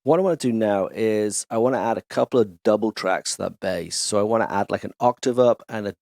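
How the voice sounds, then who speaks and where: A man hosting a casual music production tutorial, chatty and enthusiastic as he walks through software instruments in his home studio. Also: fairly low pitch, brisk, vocal fry. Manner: relaxed, chatty, enthusiastic demonstrator. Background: instrument demo music.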